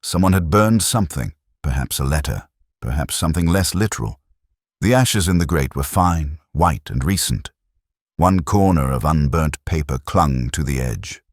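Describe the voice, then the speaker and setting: A man with an extremely low voice narrates a detective story in the first person. His delivery is measured and solemn, though the pitch rises and falls in a lively way. The recording is dry and close, with a literary, scripted feel. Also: no room sound. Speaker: narrator in the part of a police inspector.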